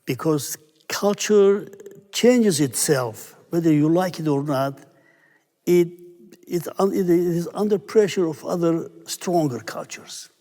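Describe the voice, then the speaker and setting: A man's voice: a little high, warm tone. Elderly man, church reverb. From Syria. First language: Armenian. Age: 80s. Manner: unhurried, searching for English words, chuckling. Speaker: priest, church abbot. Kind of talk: interview answers. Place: large stone church.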